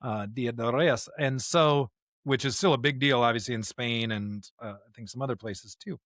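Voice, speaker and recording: A man's voice: mid-range voice, normal speed, a bit bright, dry recording, clean studio sound. A man co-hosting a podcast, reflecting on faith conversationally in an earnest, emphatic way, with rhetorical questions. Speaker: podcast co-host. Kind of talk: conversational, reflective religious discussion.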